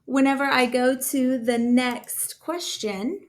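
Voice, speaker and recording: A woman talking through a casual screen-share software tutorial as a trainer, in an informal, enthusiastic and encouraging way. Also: sits high, slow. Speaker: school district technology trainer.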